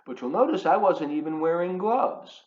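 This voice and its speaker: A man reading a children's book aloud in an expressive, emphatic, teacherly way, adding chatty asides for his young listeners. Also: high-pitched, normal speed. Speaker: volunteer children's storybook reader. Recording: roomy echo, slightly dull sound.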